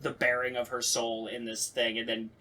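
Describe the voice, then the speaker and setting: A man hosting a casual podcast discussion, talking in a rambling, animated, sweary way. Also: medium pitch, normal speed. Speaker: podcast host.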